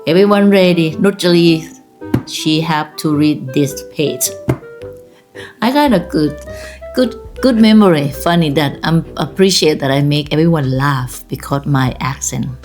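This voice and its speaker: A woman's voice: low voice, unhurried, a little mellow, faint music behind. A woman in her fifties from Thailand with a Thai accent, telling personal stories in a rambling, laughing way. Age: 50s. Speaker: vlogger. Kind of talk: casual personal storytelling.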